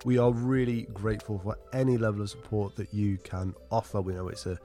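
A man's voice: lowish voice, little pitch movement, a little fast, mellow, soft background music. A man speaking as a podcast host in a casual, conversational style.

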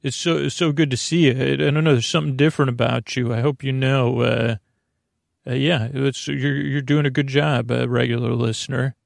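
A man with a creaky voice hosting a bedtime podcast, rambling soothingly and haltingly to the listener with meandering self-corrections. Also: medium pitch, fairly even intonation, no echo, studio quality. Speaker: podcast host. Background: music.

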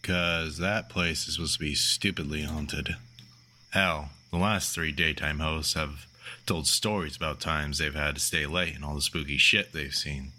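Man playing a very deep, bright-toned casual caller. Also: fairly even intonation, unhurried, exaggerated voice. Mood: eager. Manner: casual, slangy storytelling. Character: casual, foul-mouthed male caller fond of spooky tales. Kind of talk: casual dialogue in an audio drama.

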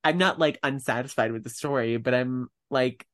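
A male podcast co-host with a mid-pitched voice chats in a very informal way. He is self-deprecating and tends to act out his own thoughts as little quotes. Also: normal speed. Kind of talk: casual chat.

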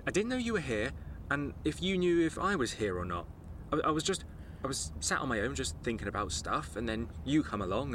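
A man's voice: medium pitch, noisy background, lightly acted. An actor playing a nervous, rambling young man on a football club's staff, stammering and apologetic. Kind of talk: audio drama acting.

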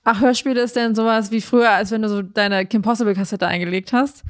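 A woman co-hosting a podcast, chatting casually and asking blunt, quizzical questions. Her pitch is middling for a woman. The sound is dry and close, with no room echo. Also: fairly even intonation, quick pace. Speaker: podcast co-host. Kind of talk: casual chat.

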